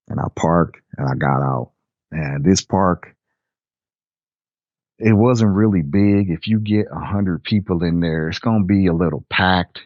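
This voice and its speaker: An older man from Nebraska telling a personal story in a relaxed, rambling way with casual slang. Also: extremely low pitch, husky, no room sound, muffled recording. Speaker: storyteller guest. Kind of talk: personal storytelling, recounting a memory.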